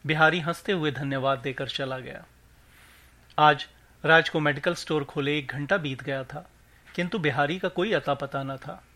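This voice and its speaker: A man's voice: fairly high pitch, moderate pace, sharp tone. A man narrating a scripted short story for a podcast, reading as the host.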